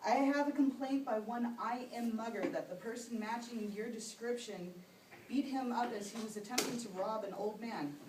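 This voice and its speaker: Woman doing a blustering, high-voiced puppet policeman. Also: moderate pace, reverberant, distant mic, heavy background noise, close to an everyday voice. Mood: bossy, suspicious. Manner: barking orders, ends phrases with "eh". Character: bossy, suspicious policeman puppet, blustering and sarcastic.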